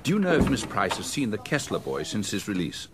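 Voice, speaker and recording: An actor playing a middle-aged hospital doctor, hesitant yet blunt, in a horror radio drama. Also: male voice, fairly low pitch, huge pitch swings, a little fast, very noisy. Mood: businesslike. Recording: music behind the voice.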